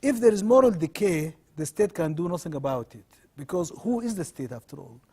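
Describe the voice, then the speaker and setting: A middle-aged man from Sudan, a law professor answering interview questions in measured, earnest explanations. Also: on the high side, animated, darkish tone, husky.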